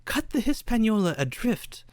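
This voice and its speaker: A narrator reading a radio play, somewhat haltingly, often tacking "eh" onto sentences. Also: mid-range voice, big pitch swings, a little mellow, no room sound, studio quality.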